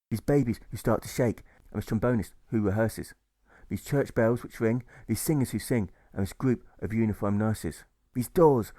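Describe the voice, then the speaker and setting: Male audiobook narrator, rhyming verse, theatrical, rhythmic, deliberate, mid pitch, dry studio-like sound. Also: warm tone, dry recording. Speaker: author reading own audiobook.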